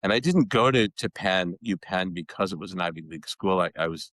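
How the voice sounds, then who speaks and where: A man in his sixties from Boston with a very low, deep voice talks about his past. He speaks in a reflective, conversational way, often repeating words and adding "you know." The recording is dry and close. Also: brisk, fairly bright tone, no echo. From Boston, United States. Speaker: guest, educational consultant. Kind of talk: conversational storytelling.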